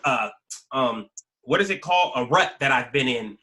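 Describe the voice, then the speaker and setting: Bright, forceful American man in his forties. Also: medium pitch, lively intonation, normal speed. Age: 40s. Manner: emphatic, repetitive, slangy. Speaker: guest, YouTube creator telling his life story. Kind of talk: casual conversational storytelling.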